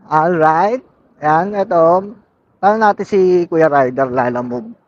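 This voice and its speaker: A man's voice: on the high side, unhurried, lo-fi sound. A man with a Filipino accent chatting casually as a motorcycle vlogger, in informal, upbeat street talk mixed with English. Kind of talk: casual vlog chat to viewers while riding. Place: outdoors on a motorcycle in city streets.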